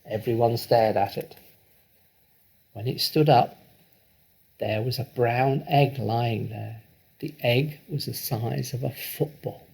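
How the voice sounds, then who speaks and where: Male storybook narrator, deliberate and expressive, with a gravelly, raspy voice. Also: mid-range voice, poor audio. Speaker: storybook reader.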